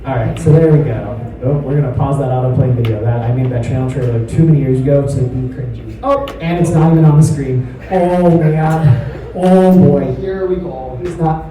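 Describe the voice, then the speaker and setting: A man's voice: mid-range voice, normal speed, warm tone. A man speaking as a voice actor at a convention panel, answering questions in a rambling, self-deprecating, apologetic way. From United States. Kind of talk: casual panel Q&A. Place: large convention panel room. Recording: echoey hall, distant mic, room noise.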